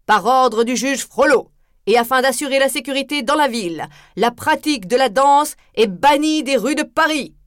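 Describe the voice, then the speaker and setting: Woman voicing a stern male herald, loud formal proclaiming, storybook, dry close sound. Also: high voice, normal speed, no echo, voice pushed up, close to an everyday voice.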